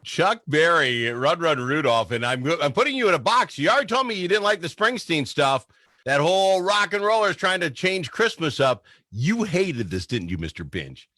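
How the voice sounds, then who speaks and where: A man hosting a radio show, bantering about music in an animated, teasing, exclamatory way. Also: fairly high pitch, moderate pace, dry recording.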